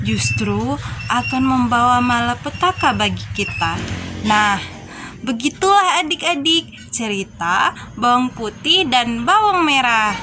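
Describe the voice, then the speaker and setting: A young woman narrating a scripted children's story as a storyteller. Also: on the high side. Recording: clearly noisy background.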